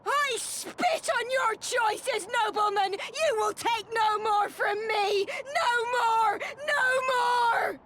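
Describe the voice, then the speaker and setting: A woman's voice: very high-pitched, takes it slowly, hardly any pauses, raised voice, very noisy. A woman playing a fervent, vengeful rebel agitator in a video game, declaiming with theatrical passion. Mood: furious defiance. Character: fervent vengeful female rebel agitator rallying commoners against nobles. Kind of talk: dramatic video game voice acting, impassioned oratory.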